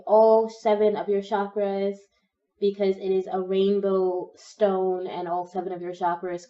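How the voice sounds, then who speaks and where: A young woman with a cold and a slightly croaky voice, gently talking through a pick-a-card tarot reading. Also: medium pitch, recorded from a distance, lo-fi sound. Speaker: tarot reader.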